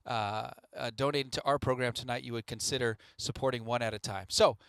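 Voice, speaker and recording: A man's voice: mid-range voice, fairly even intonation, brisk, a bit bright, smooth, dry recording. A man hosting a radio theatre show, making intermission announcements to the listeners. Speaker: radio play host.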